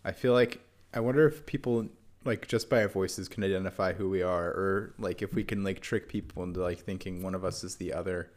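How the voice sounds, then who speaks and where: A young man co-hosting a podcast in relaxed, rambling casual chat with halting restarts. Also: sits low. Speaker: podcast co-host.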